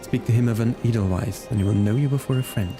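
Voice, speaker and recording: A man voicing a secretive male servant of a noble house, with hushed, urgent lines. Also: medium pitch, fast, music in the background, lightly acted.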